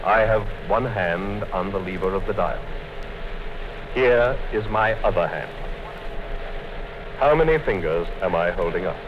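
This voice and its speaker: An actor in a 1950s radio play playing a calm, coldly menacing male interrogator who speaks in a measured way. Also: low voice. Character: cold, patient male party interrogator, middle-aged. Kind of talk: 1950s radio drama acting. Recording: dull 1950s radio broadcast with hiss.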